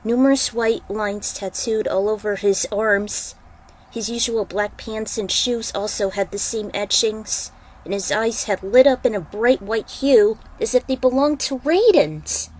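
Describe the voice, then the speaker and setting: A woman's voice: fairly high pitch, unhurried, darkish tone, low-quality recording. A woman reading as author and narrator, in a dramatic storytelling style that loosens into casual asides. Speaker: fan fiction author and narrator.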